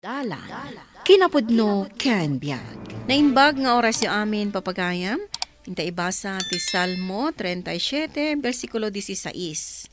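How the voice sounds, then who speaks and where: A woman's voice: mid-range voice, lively intonation, a little slow. A woman with an Ilocano accent reading a scripted introduction to a radio drama as its announcer.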